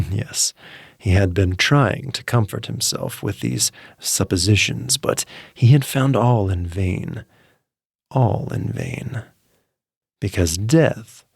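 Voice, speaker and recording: A man narrating a dark story in a dramatic, slow reading, dropping to hushed murmurs and rising to sudden intense outbursts. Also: fairly low pitch, vocal fry, slightly rough, no room sound, studio quality. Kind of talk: dramatic audiobook reading for sleep.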